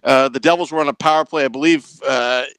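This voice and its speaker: A man's voice: mid-range voice, fairly even intonation, fast talker, fairly bright tone, clear, resonant tone, no room sound. A man hosting a casual podcast interview, talking in an enthusiastic, rambling, fan-like way. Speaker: podcast host.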